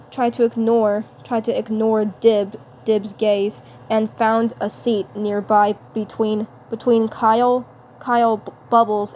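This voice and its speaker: Casting: female amateur storyteller, higher voice, very slow halting read with repeated phrases, slightly noisy home recording. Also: darkish tone. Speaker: fan fiction narrator and voice performer.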